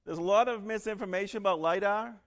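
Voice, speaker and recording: A man from northern Alabama giving a quick, joking technical talk at a conference. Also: sits high, fairly bright tone. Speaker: conference presenter. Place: large conference hall. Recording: long hall reverb.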